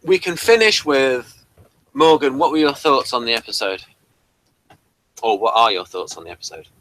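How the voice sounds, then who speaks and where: A man's voice: mid-range voice, animated, moderate pace, fairly bright tone, poor audio. A man hosting a casual discussion of an audio drama, asking the others for their views. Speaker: podcast host.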